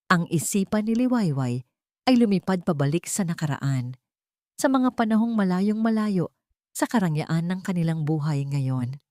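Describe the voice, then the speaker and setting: Low-pitched Filipino woman narrating a story. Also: a little mellow, no echo, clean studio sound. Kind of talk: scripted dramatic story narration.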